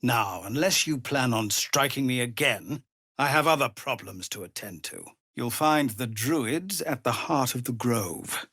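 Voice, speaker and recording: A noble, worn-down male leader of refugees for a video game. His voice is husky and grave, gravelly when strained, and recorded very dry. He speaks in a measured, formal way with an expressive, widely moving pitch. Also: no room sound, full character voice. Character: weary, honourable male leader of embattled refugees. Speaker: video game character actor. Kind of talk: dramatic game dialogue.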